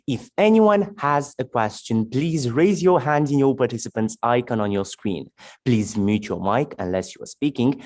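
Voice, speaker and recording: Male debate moderator, formal and measured, animated pitch, video call. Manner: measured, announcing formal introductions.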